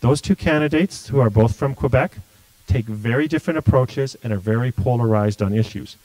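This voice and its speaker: A man's voice: medium pitch, fairly quick, darkish tone, no room sound, poor audio. A middle-aged man, answering questions as a political candidate in a blunt, forthright way. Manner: blunt, forthright, restarts often. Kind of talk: livestream interview answers.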